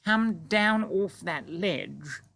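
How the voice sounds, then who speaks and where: A man voicing a regal older Queen in an audiobook, with an imperious, deliberate and commanding manner. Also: high-pitched, gravelly, bright, forceful tone, pitched above the performer's own voice, heavily acted. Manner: imperious, drawn-out deliberate speech. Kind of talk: audiobook character acting.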